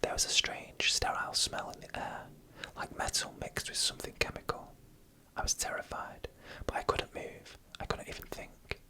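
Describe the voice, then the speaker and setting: A narrator telling scary stories in a slow, hushed, breathy ASMR whisper, close to the microphone. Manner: slow, hushed, deliberate storytelling. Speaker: host and narrator.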